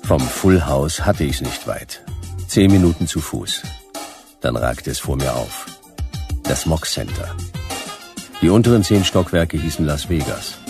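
A man's voice: a bass voice, sing-song, unhurried, long pauses, music in the background, full character voice. A man playing a laconic, sardonic private detective in a noir radio play. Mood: wry narration. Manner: laconic, sardonic, clipped sentences. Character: hard-boiled, dry, wry male private detective.

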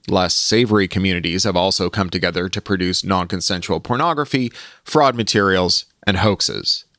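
A man hosting a podcast, reading a scripted opinion column aloud in an articulate, measured and emphatic way. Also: fairly low pitch, fairly bright tone, no room sound, studio quality. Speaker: podcast host reading own magazine column.

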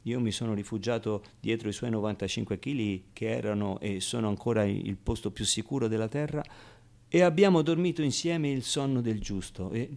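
A man's voice: lowish voice, creaky, darkish tone. A naive, streetwise orphan boy. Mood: reflective. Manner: halting, hesitant pauses, repeats words. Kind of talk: theatrical radio monologue, first-person storytelling.

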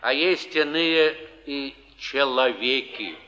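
An actor playing a sly, gentle old wandering pilgrim on stage, teasing in a folksy way. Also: male voice, medium pitch, slow pace. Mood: wry, evasive. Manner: folksy, teasing, speaks in sayings. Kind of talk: theatrical stage acting. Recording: dull archival stage recording, echoing hall.

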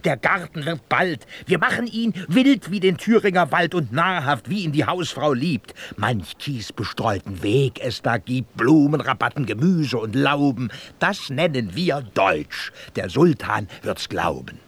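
An actor playing a knight's loyal, pragmatic squire in a radio play, soothing his master in folksy rhymes with a husky voice. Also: mid-range voice, lively intonation, measured pace, hardly any pauses, fairly bright tone, heavily acted. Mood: coaxing. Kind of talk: theatrical rhyming radio play.

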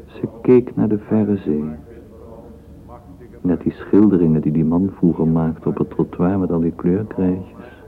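A man narrating a literary radio drama in measured storytelling style. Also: low-pitched. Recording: thin phone-like old radio recording.

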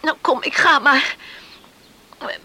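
An actress playing a distressed, tender young country sweetheart in a radio play. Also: female voice, sits high, takes it slowly, bright, forceful tone, slight background noise, full character voice. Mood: tearful, tender.

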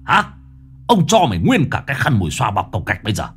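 A man's voice: on the low side, sing-song, racing, fairly bright tone, slightly rough, voice pushed down, exaggerated voice. A man voicing a short-tempered old male exorcist who curses and snaps at a ghost. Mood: irritated. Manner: cursing, snapping.

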